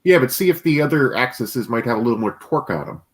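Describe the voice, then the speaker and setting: Man with a lively, bouncy voice. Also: medium pitch, a little fast. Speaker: live-stream participant. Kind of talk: casual chat.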